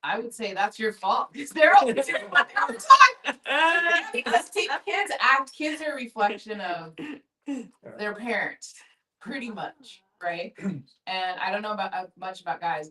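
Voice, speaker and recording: Middle-aged woman, mid pitch, effusive and frank in informal group conversation, picked up at some distance. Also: expressive, unhurried, bright, forceful tone. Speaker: discussion group participant. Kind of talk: casual candid group conversation. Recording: distant room microphone.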